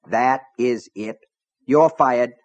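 A man's voice: medium pitch, takes it slowly, no room sound, dull audio, natural voice. An adult man voicing a burly, hot-tempered small-town official who talks in a blustering, sulky way. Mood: angry. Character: burly hot-tempered middle-aged male selectman.

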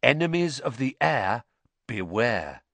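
A man's voice: mid-range voice, very animated, takes it slowly, creaky, a bit bright, no echo, full character voice. A man voicing a pompous, fearful schoolboy in an audiobook, dramatic and insistent. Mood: ominous gossip. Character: pompous, fearful schoolboy spreading dark suspicions. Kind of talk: audiobook character acting.

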